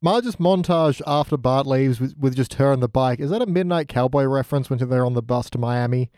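A man's voice: medium pitch, brisk, a little mellow, dry recording, studio quality. A man chatting casually as a guest on a TV review podcast. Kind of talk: casual TV episode review chat.

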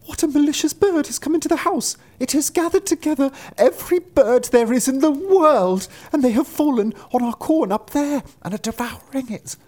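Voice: high-pitched, animated, normal speed, hardly any pauses, a little mellow, pitched above the performer's own voice. A storyteller voicing an alarmed, complaining wife of a wagon driver, fretting dramatically.

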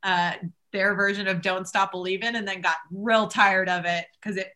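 A woman co-hosting a casual podcast, chatting in a quick, easygoing way. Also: medium pitch, steady tone, bright, forceful tone. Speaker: podcast co-host. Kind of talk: casual chat.

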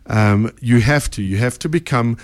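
A man's voice: mid-range voice, moderate pace. A middle-aged man hosting an interview, asking rambling questions in a jokey, chatty way. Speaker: host, radio presenter. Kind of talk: casual interview talk.

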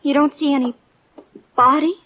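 An actress playing an anxious, devoted, jealous young sweetheart, fretful and pleading, in a 1940s radio drama. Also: female voice, sits high, fairly even intonation, normal speed, close to an everyday voice. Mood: nervous. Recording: dull 1940s radio recording.

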